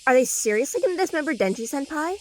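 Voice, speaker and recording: Anime fandub: actress for a ghoulishly keen teen girl collector, very high and animated. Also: quick pace, a little hiss or hum. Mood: eager, morbid. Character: eager, morbid teenage girl fan and collector.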